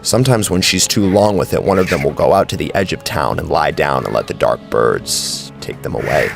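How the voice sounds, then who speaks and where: A man's voice: very deep voice, lively intonation, brisk, gravelly. A man narrating a scripted horror story as a brooding, dramatic storyteller. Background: faint music.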